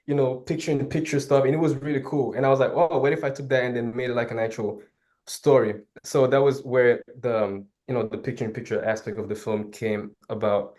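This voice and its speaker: A young man from Senegal whose first language is Wolof, a filmmaker guest talking casually about his work, upbeat and quick to laugh, often trailing into fillers. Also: mid-range voice, steady tone, darkish tone. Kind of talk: casual interview talk.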